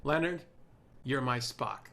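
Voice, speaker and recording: An actor playing a confident, curt television producer in a screenplay table read. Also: male voice, medium pitch, expressive, slow pace, gravelly, bright, forceful tone, heavily acted. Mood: assured. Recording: faint noise and room ambience.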